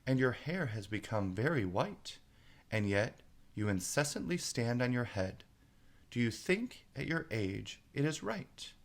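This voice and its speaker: Man reciting as cheeky youth, slow verse. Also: medium pitch, big pitch swings, vocal fry, pitched above the performer's own voice. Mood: inquisitive. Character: inquisitive, cheeky young man questioning his old father. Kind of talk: storybook poem reading.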